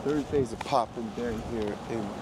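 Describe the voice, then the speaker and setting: An American man with a slightly raspy voice from a recent illness, chatting casually and informally as he hosts a walking vlog into a handheld microphone. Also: mid-range voice, normal speed. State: getting over a sickness. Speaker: vlogger hosting a walking tour. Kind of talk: casual rambling vlog chat. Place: outdoors on a city street. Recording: noisy outdoor street ambience.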